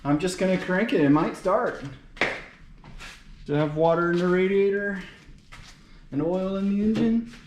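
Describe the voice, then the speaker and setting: A man's voice: high voice, normal speed, long pauses. A man giving casual, folksy running commentary to himself as he works on a car in a garage. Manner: talks to himself, folksy and playful. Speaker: vlogger working on his car. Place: home garage. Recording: roomy garage echo, clear background noise.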